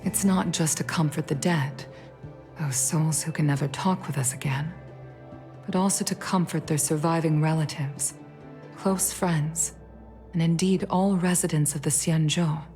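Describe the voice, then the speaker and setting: A dignified, middle-aged female leader from a video game, with a low, grave voice. She speaks formally and in measured phrases, carrying her grief with restraint. Game music plays clearly underneath. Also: fairly even intonation, a little mellow. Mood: solemn, measured. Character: grieving, dignified middle-aged fox-woman commission leader. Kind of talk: scripted video game voice acting.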